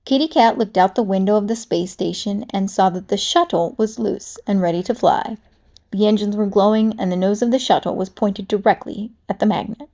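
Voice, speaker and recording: A woman reading a children's storybook aloud, with animated, dramatic narration. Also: medium pitch, a little fast. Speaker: storybook reader.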